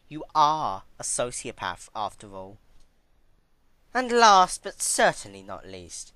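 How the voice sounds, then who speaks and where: A reader acts out a cold, cruel female pony who gloats over a captive. The voice is forceful and sharp, swinging widely in pitch. Words are stretched out with menace and impatience, as in a dramatic storybook reading. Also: no echo. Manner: menacing, drawn-out emphatic delivery.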